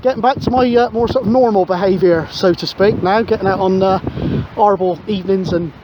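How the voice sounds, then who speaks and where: A man's voice: high-pitched, a little fast. A man chatting casually as a CB radio operator, matey and rambling, outdoors on a windy seashore. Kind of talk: casual radio chat and narration to camera. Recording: dull outdoor recording, clear wind noise. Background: wind and outdoor ambience.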